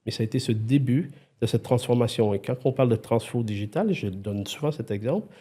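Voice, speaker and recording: Husky Québécois man, warm voice. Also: mid-range voice, brisk. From Quebec. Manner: lively, animated storyteller, frequent restarts. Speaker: podcast guest, IT executive. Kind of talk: casual conversation.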